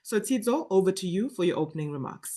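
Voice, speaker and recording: A woman's voice: mid-range voice, lively intonation, dark tone. A woman moderating an online panel forum, introducing speakers and reading out poll questions. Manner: brisk, reads out poll options.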